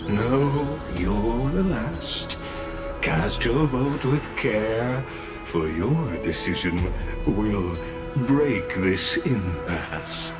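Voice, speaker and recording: Brief: formal herald, low voice, very slow and weighty, score behind. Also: male voice, expressive, loud music behind the voice. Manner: formal, deliberate announcements. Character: grave, formal male assembly herald. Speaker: assembly official overseeing a vote. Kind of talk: video game voice acting.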